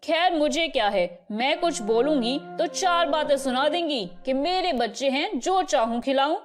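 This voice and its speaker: Woman acting elderly mother-in-law, sharp-tongued, nagging, high pitch, storybook cartoon, music behind. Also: animated, moderate pace. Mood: grumbling. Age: older. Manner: nagging, scolding.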